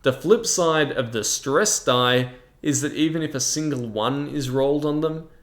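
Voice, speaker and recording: A man hosting a review in a measured, explanatory way, with semi-scripted narration. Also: a little high. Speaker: host of a tabletop game review channel. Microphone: large microphone in front of him. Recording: echoey, reverberant room.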